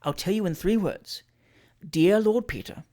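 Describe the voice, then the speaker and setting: A narrator voicing a self-possessed, scheming lady of forty, quiet, measured and drily imperious, in a storybook. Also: medium pitch, natural voice. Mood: composed.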